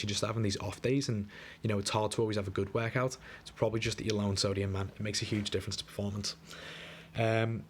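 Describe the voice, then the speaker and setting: Fast-talking, slightly wheezy man. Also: lowish voice. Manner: laid-back, chatty, lots of fillers. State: a bit wheezy, coming down with flu. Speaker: diet coach. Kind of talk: casual screen-recorded walkthrough. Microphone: screen recording.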